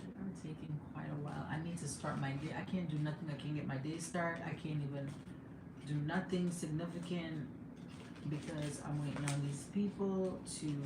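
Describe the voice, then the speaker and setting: A young woman with a Jamaican accent talks casually to her stream viewers, her voice sitting a little low. She laughs easily and sometimes jumps into high, excited exclamations. The sound is echoey, like a bare room at home, with clear background noise underneath. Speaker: livestreamer and mother. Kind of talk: casual live chat.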